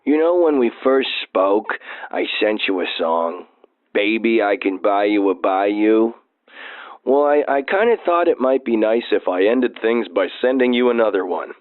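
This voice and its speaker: A man's voice: fairly low pitch, animated, unhurried. An actor playing a lovelorn man leaving a voicemail and singing, drawling and sheepish. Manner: sheepish, drawling, croons to guitar. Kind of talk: scripted comedy audio drama. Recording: tinny phone voicemail with guitar.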